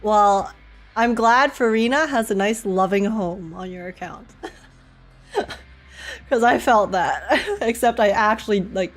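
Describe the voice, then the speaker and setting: A woman's voice: mid-range voice, measured pace. A young woman livestreaming a game, chatting casually with viewers in a bubbly, giggly, chatty way. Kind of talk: casual livestream chat, reading and answering viewer comments. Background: game music.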